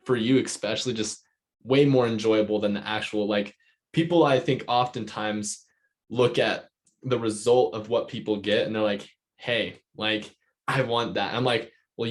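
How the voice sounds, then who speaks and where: A man hosting a casual interview podcast, rambling and enthusiastic, peppering his sentences with "like". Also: mid-range voice. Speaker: podcast host.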